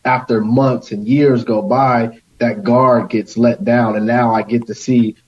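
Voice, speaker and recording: A young man talks as a guest in a casual but earnest discussion. His voice sits at an average pitch, and the recording is a bit muffled and lo-fi. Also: little pitch movement, measured pace. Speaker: roundtable guest. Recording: slightly dull, lo-fi sound.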